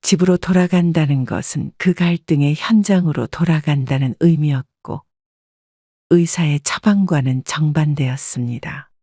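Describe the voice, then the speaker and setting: A woman calmly narrating a first-person life story in a measured, scripted way. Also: sits low, no echo, studio quality.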